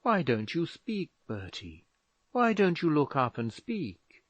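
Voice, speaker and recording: A man voicing a young woman who tries to calm a family quarrel in a soft, pleading voice. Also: fairly high pitch, slow pace, no room sound, pitched above the performer's own voice, full character voice. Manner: soft, pleading, at times whispering. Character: anxious young woman, a pleading peacemaking daughter.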